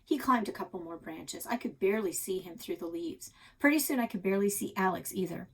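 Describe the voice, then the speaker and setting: A woman narrates a children's story for a read-aloud. Her pitch moves in lively swings. The voice sits in a middle register with a slightly husky edge. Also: a little fast, rough recording. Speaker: library read-aloud reader. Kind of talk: expressive children's book narration.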